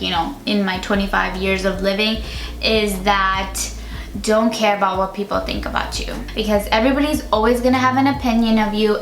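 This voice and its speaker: A woman's voice: medium pitch, fairly bright tone. A woman in her twenties chatting casually through a makeup tutorial, with a lot of "like" fillers. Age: 20s. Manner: chatty, uses "like" often. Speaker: beauty vlogger. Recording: echoey room with music behind.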